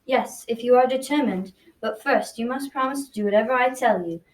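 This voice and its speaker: Young girl playing a loyal talking pony, earnest n high pitched, school radio drama, bit distant from mic. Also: brisk, close to an everyday voice. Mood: calm warning. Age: child, around 12-13.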